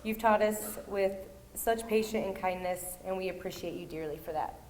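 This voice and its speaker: A woman reading a sincere, steady thank-you speech with a light joking touch. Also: medium pitch, normal speed, slight background noise. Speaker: nursing graduate giving a speech.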